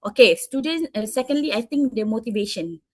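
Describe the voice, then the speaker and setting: A woman's voice: a little high, expressive, fairly quick, darkish tone, no room sound. Malaysian-accented woman, 30s, teacher being interviewed, dry close sound, hesitant. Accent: Malaysian English. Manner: hesitant, many fillers and restarts. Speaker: English teacher interviewed by students. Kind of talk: answering interview questions.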